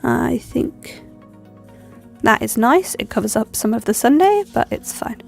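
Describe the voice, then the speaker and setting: A woman's voice: on the high side, lively intonation, measured pace, gravelly, a little mellow, faint music behind. A young woman with a stuffy cold, chatting and rambling with lots of ums as she decorates her planner. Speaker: planner vlogger. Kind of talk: chatty plan-with-me narration.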